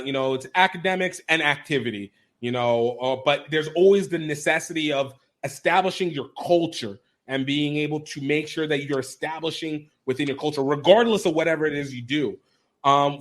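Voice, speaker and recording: A man hosting a casual interview podcast. He chats in a lively, laughing way and acts out quoted voices with big jumps in pitch. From Nigerian family, raised in America. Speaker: podcast host.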